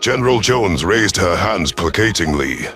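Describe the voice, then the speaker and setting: Man, low pitch, dramatic sci-fi narrator, grave alien commander's account, faint music behind. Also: animated, unhurried, a bit bright. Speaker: narrator of a sci-fi audio story. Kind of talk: first-person dramatic scripted narration.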